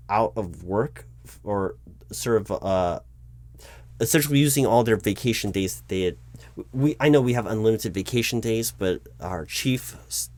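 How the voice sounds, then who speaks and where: A man with a fairly low voice plays an awkwardly polite corporate hiring manager in an improvised audio roleplay. He talks hesitantly, stammering and doubling back on words as he addresses an unheard applicant, sounding flustered. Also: darkish tone, no echo. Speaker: roleplay performer playing a recruiting manager. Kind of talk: improvised one-sided job interview roleplay.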